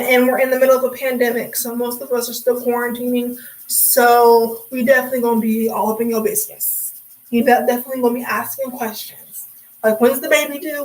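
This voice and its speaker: A young woman chatting on a livestream, sassy and talkative, calling people sweetie and honey. Her slightly high voice is worn hoarse and raspy from hours of talking without water. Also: poor audio. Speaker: livestream host. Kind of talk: casual livestream chat.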